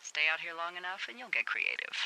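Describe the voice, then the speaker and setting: A woman's voice: fairly low pitch, big pitch swings, fast talker, vocal fry, background noise. A woman playing a wry, teasing fire lookout supervisor in naturalistic video game dialogue, playful and sarcastic. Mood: dry, playful. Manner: playful, sarcastic, hesitant when earnest.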